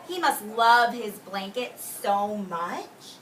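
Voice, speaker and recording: A woman teacher reading a picture book aloud to young children, expressively and deliberately, drawing out key words and explaining simply. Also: medium pitch, expressive, a bit bright. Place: indoors in a classroom with young children. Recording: roomy distant mic with faint background noise.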